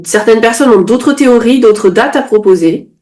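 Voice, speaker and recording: A woman interpreting a sermon phrase by phrase into French. She restates promptly after each pause and now and then corrects her wording mid-line. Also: a little high, a little mellow. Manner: quick restating, occasional self-corrections.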